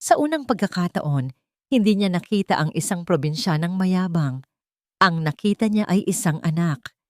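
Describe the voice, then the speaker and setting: A woman's voice: lowish voice, lively intonation, moderate pace, a little mellow, no echo, studio quality. A woman reading a scripted, dramatic love story as a storyteller.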